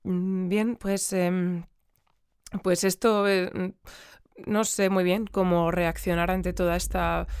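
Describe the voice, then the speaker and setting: A woman playing a flustered news presenter who searches haltingly for words in an audio drama. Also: fairly low pitch, little pitch movement, darkish tone, smooth, dry recording, clean studio sound. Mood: flustered, bewildered. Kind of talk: audio drama newscast acting. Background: music.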